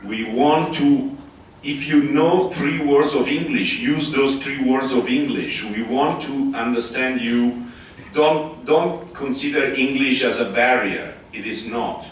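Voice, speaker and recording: An Italian-accented man gives a conference talk in a large hall, slow and halting with frequent fillers and restarts. His voice sits at an ordinary pitch and comes from a distance, with heavy echo and faint room noise behind it. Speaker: conference speaker. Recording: echoey hall, far from the mic.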